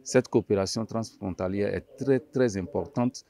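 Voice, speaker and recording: A man's voice: fairly low pitch, normal speed, vocal fry, dark tone. A man from Benin with a Beninese accent, a mayor, gives a formal interview statement.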